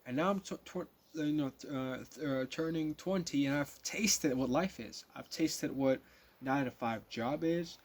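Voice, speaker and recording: A man's voice: on the high side, normal speed. A nineteen-year-old man talking casually to his viewers in a rambling monologue, full of fillers and restarts. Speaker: vlogger.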